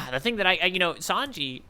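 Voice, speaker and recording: Man, podcast co-host, sharp bright tone, crisp and resonant, casual discussion, musing aloud. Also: high voice, very animated, moderate pace. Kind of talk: casual chat, manga readalong discussion.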